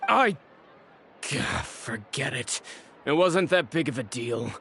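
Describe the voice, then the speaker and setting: A man's voice: medium pitch, sing-song, takes it slowly, vocal fry, pushed and bright, a little hiss or hum, exaggerated voice. An actor playing a sleazy, cowardly male thug who whines and blusters. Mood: whiny. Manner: whiny and bluster-filled.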